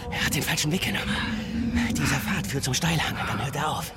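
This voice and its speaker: A man's voice: on the high side, animated, fast talker, very noisy, lightly acted. A man playing an alert, plain-spoken young western scout in a radio drama. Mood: alert, urgent.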